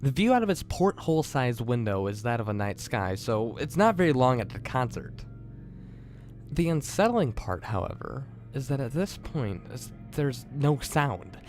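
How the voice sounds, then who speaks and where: A man's voice: medium pitch, very animated, moderate pace, a little mellow, soft background music. A man hosting and narrating a scripted creepypasta horror story. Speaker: host and narrator.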